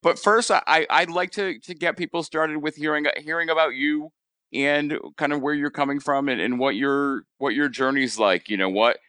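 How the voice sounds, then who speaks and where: A man with a Rhode Island accent hosting a podcast interview, speaking casually with halting restarts and repeated words. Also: a little high, fairly even intonation, sharp tone, dry recording. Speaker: podcast host.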